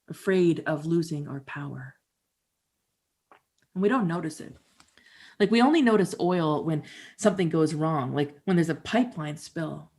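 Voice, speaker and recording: A woman performing a dramatic reading as a musician and storyteller, with measured, reflective delivery. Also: sits low. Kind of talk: dramatic reading of documentary theater.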